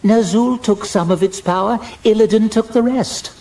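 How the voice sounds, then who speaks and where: A man's voice: sits high, moderate pace, vocal fry, mellow, voice pushed up. A male narrator voicing a stern, cold female elf warden who speaks in clipped, accusing lines. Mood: sharp, dismissive. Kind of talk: audiobook character voice.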